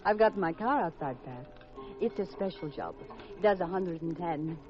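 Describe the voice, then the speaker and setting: A slinky, thrill-seeking femme fatale from a 1950s radio mystery, played by a woman. Her pitch swings widely as she teases and plays coy. The recording is old and muffled. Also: lively intonation, normal speed, music in the background, natural voice. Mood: bored, flirtatious.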